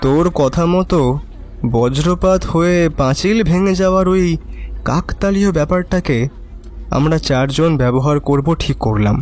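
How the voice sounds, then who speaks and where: Man acting high-voiced, slangy young accomplice. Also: expressive, moderate pace, a little mellow, soft background music, lightly acted. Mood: earnest recounting. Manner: slangy, earnest. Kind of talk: audio horror story acting.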